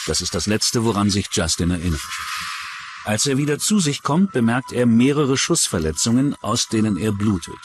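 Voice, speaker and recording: A man's voice: on the low side, big pitch swings, normal speed, background noise. A man reading scripted true-crime narration as a documentary narrator. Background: music.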